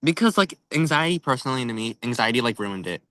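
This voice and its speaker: A man's voice: mid-range voice, lively intonation, fast talker, sharp tone, no echo. A man talking casually about books as a podcast co-host, informal and conversational. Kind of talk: casual book chat.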